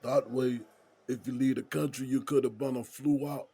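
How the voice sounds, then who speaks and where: A man playing a good-humored, folksy prison inmate in an audio drama, with a gravelly, husky voice and colloquial drawl. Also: mid-range voice, steady tone, moderate pace, mellow. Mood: curious, teasing. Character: folksy, good-humored male jailhouse buddy named Smokey.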